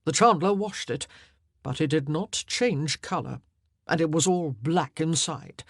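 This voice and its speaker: A man's voice: fairly high pitch, unhurried, husky, no echo, studio quality. A woman voicing a bold, commanding king in an audiobook, with emphatic, declamatory delivery. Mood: grave, confiding. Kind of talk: audiobook character dialogue.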